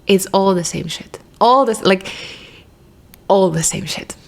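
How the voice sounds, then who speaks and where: A woman's voice: mid-range voice, unhurried, creaky. A young woman with a Ukrainian accent, talking casually to camera as a vlogger, thinking aloud in a rambling way with restarts and the odd swear. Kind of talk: casual reflective monologue.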